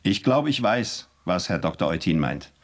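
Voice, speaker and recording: An actor playing a solemn official who speaks earnestly and moralizes in a radio drama. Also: male voice, sits low, sing-song, moderate pace. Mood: grave.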